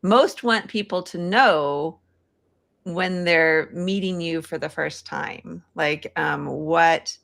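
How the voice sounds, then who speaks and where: Woman interviewer, unhurried and tentative, with noticeable vocal fry, casually rewording questions mid-sentence. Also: low voice, fairly bright tone. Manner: tentative, searching for words, rephrases questions. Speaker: podcast host, interviewer.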